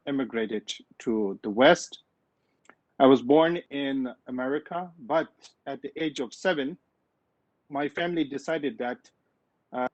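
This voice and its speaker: A man raised in India speaks as a Buddhist lama on a panel, measured and unhurried, pausing often. His mid-pitched voice comes through dull, lo-fi call audio with no room sound at all. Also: darkish tone, no echo. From grew up in India. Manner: measured, unhurried, frequent hesitations. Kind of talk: formal panel talk.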